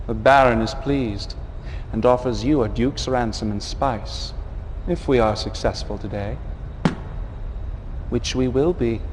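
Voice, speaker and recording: Actor as slow, smug villainous military schemer. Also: male voice, medium pitch, big-room sound, slight background noise, natural voice, rough recording. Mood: gloating. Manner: sardonic, deliberate, savoring pauses. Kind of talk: dramatic game cutscene briefing.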